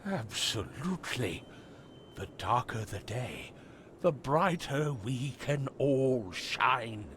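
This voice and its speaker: A man playing a grave, fervent doomsday preacher in a video game, with slow, solemn oratory. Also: medium pitch, lively intonation, fairly bright tone, slight background noise. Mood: fervent, hopeful. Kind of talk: video game cutscene acting.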